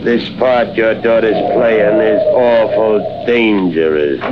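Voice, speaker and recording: Low gravelly goon, slow threats, hissy old radio. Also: male voice. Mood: threatening. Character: gruff low menacing male thug. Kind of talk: 1940s radio acting. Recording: muffled old radio recording, off-mic, with hiss.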